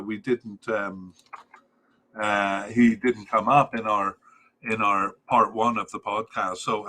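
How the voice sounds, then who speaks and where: A man going through a squad list on his soccer podcast, halting often with fillers and correcting himself as he goes. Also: medium pitch, pushed and bright. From Wales. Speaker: podcast host.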